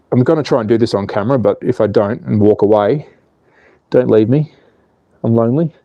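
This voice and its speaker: A cheeky Australian man in his forties talks viewers through a slot car build on a stream, swearing freely and joking with the chat. His voice is mellow and dark. The sound is close and dry. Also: medium pitch, no room sound. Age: 40s. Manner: cheeky, swearing, laughs at his own jokes, reads out chat. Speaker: slot car channel host. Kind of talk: casual livestream chat.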